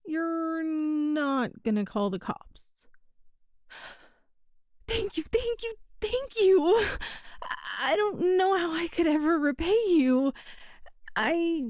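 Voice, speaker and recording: A woman's voice: high voice, a little mellow, no room sound, studio quality. A woman voicing a young cat-girl burglar who is sly but pitiful, talking in a sheepish, flustered, defensive way, for an audio roleplay. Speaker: ASMR roleplay performer.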